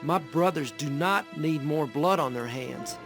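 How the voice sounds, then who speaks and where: An earnest young man of faith in an Old West game. He sounds solemn and moralizing, with a fairly high, gravelly voice over music. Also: a little slow. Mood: pleading. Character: earnest, pious young preacher, brother of outlaws. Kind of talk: video game cutscene acting and narration. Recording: music behind the voice.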